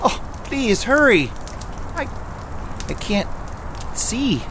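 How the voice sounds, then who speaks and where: A man's voice: very high-pitched, big pitch swings, very slow, heavily acted. A man acting as an earnest police patrol officer in an audio drama. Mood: panicked, shaken. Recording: loud car and engine ambience noise.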